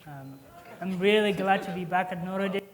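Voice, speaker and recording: A young man in his twenties from South Sudan giving an earnest speech as an honoree at an alumni event, pausing often with fillers and restarts. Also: sits high, long reverb tail. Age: 20s. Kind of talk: prepared acceptance speech.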